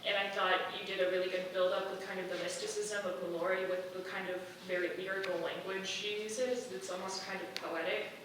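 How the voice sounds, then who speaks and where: A woman's voice: medium pitch, little pitch movement, fairly quick, hardly any pauses. Woman in a very echoey, noisy room. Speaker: audience member giving feedback. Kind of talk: informal, constructive critique. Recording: very echoey room, distant mic, clear noise.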